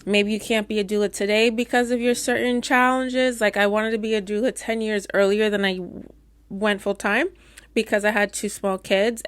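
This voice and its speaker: A middle-aged woman doula who stutters, giving candid, warm advice to new doulas in a casual talk with playful asides. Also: medium pitch, dry recording. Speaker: doula and course teacher.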